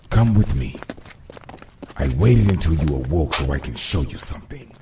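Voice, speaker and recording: Very deep, gravelly cartoon crime boss. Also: male voice, very animated, roomy sound, noisy background, full character voice. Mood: boastful, calculating. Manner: slow, deliberate, theatrical. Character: menacing adult male crime boss, smooth and scheming. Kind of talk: comic-book cartoon villain acting. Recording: music behind the voice. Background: music.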